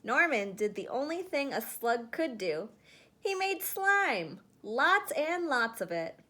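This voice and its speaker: A woman reading a children's picture book aloud in an expressive storytelling style. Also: high-pitched, slow pace. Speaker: storybook reader.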